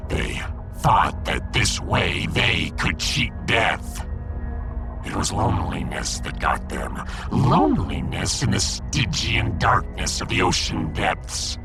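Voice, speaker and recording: A man's voice: low voice, lively intonation, takes it slowly, music in the background, exaggerated voice. A man voicing a pompous, gloomy little alien fish emperor in a sci-fi audiodrama, theatrical, long-winded and imperious. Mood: mournful, theatrical. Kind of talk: sci-fi audiodrama character acting.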